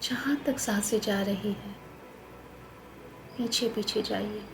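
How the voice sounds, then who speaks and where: Slow Indian woman guiding a meditation. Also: mid-range voice, gravelly. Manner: calm, soothing, instructional. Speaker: meditation guide. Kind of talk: guided meditation in slow, spaced phrases. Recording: noticeable background noise.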